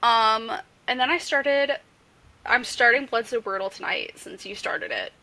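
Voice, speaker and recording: A woman co-hosting a podcast, bubbly and quick to laugh as she chats casually. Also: on the high side, bright, forceful tone, rough recording. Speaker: podcast co-host.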